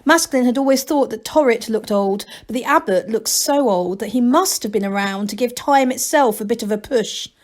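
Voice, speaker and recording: A woman reading a novel aloud as a storyteller, in expressive scripted narration. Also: a little high, normal speed, hardly any pauses.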